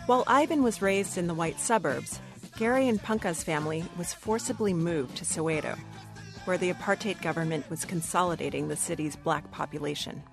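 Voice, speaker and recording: Low-pitched woman narrating a radio feature. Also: fairly quick, creaky, over music. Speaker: radio reporter.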